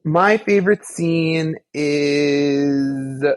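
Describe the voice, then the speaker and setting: A man's voice: on the high side, drawn-out words, a little hiss or hum. A man co-hosting a podcast, chatting casually about a favorite show in an effusive, playfully theatrical way. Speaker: podcast co-host. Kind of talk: casual fan discussion of an audio drama.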